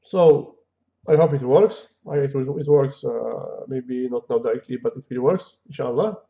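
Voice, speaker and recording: Gravelly man teaching code. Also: medium pitch. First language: Kabyle or Arabic. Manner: halting non-native English, thinking aloud with many fillers. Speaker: programming tutor. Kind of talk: casual screen-recorded coding tutorial. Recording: dull lo-fi home recording.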